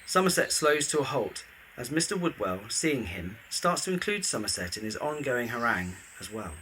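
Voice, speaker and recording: A man's voice: medium pitch, sing-song, moderate pace, bright, forceful tone, a little hiss or hum, poor audio. A man narrating a scripted audio drama in the present tense, describing scenes and actions.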